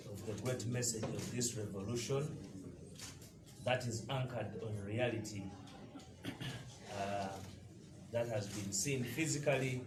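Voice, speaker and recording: A man's voice: lowish voice, recorded from a distance. A man from eastern Uganda with a Ugandan accent, a party deputy spokesperson giving an emphatic, combative press conference statement with frequent hesitations. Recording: echoey room picked up from afar, noisy.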